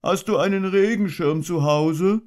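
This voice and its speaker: A man putting on a high voice for a slow, earnest, muddled little teddy bear in a storybook reading. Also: darkish tone. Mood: conspiratorial. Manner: slow, earnest, ponderous, sing-song.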